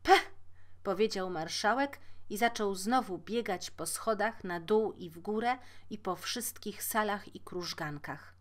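A woman reading a fairy tale aloud as a storyteller, measured and expressive. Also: mid-range voice. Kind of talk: scripted fairy-tale narration.

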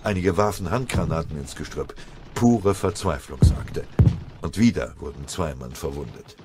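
A man reading a war story as an audiobook narrator in dramatic scripted narration, with a husky voice. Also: very deep voice, lively intonation, moderate pace, over loud music.

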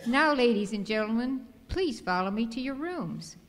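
A woman's voice: on the high side, animated, normal speed, a little hiss or hum, lightly acted. Woman playing officious first officer, echoey hall. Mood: indignant. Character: officious, snappish female ship's first officer.

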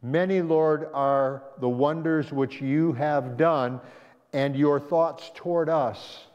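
A man's voice: fairly high pitch, steady tone, slow pace, a little mellow. A male pastor teaching a Sabbath school class, talking it through conversationally and asking the class questions. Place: church sanctuary. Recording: dull echoey church hall recording.

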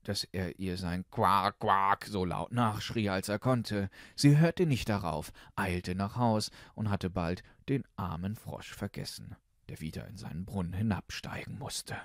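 A man's voice: low-pitched, unhurried, dry recording, studio quality. A man narrating a fairy tale as an audiobook, reading expressively from a script.

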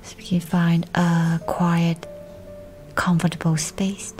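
Slow, low-pitched woman guiding meditation. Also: monotone, a little mellow. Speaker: guided meditation narrator. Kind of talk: scripted guided meditation. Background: music.

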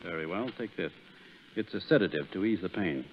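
An actor playing a kindly, patient Southern country doctor in 1930s radio drama, speaking plainly and unhurriedly. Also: male voice, low voice, lively intonation, a little fast, a little hiss or hum. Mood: calm, patient. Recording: muffled, phone-like 1930s radio recording.

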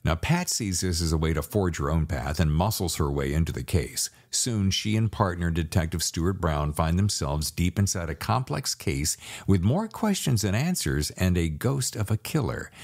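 Low-pitched, animated American male podcast host. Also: normal speed. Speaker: podcast host and thriller author. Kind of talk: upbeat scripted podcast narration and interview hosting.

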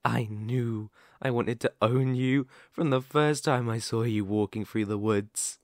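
A menacing werewolf , acted out by a man, speaks right up close in a dry, intimate ASMR roleplay. He takes his time, leaving long pauses between phrases. He is sadistic and possessive, with an old wound underneath the threat. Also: mid-range voice, steady tone, a little mellow, no room sound, close to an everyday voice. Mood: pleading, possessive. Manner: slow, menacing, with drawn-out pauses. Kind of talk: ASMR roleplay, dramatic monologue.

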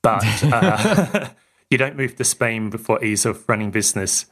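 A man from New Zealand with a mixed New Zealand and Australian accent, speaking calmly as a podcast guest with dry humor. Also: fairly low pitch, measured pace. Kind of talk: casual conversational interview.

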